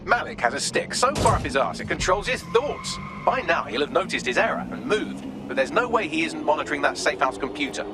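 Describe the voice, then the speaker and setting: A man's voice: a little high, very animated, brisk, hardly any pauses, loud music behind the voice. An actor playing a witty, dry male AI assistant, in a video game. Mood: wry. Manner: dry, sardonic.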